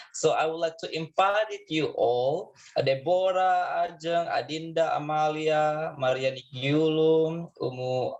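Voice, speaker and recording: A man's voice: on the high side, poor audio. An Indonesian man moderating an online info session over a video call, talking with frequent hesitations and restarts. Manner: hesitant, many fillers and restarts.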